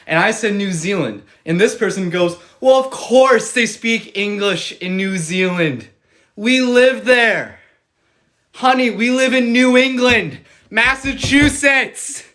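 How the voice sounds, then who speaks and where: A man's voice: high voice, slow pace, fairly bright tone. A young man, a college student, telling a casual story in an incredulous, mocking way. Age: young adult. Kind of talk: casual anecdote.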